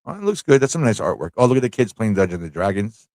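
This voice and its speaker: A man's voice: on the low side, very animated, fast, a little mellow, no echo, clean studio sound. A man hosting a casual live stream, thinking aloud in an easygoing, informal way as he chats, reads aloud and reacts.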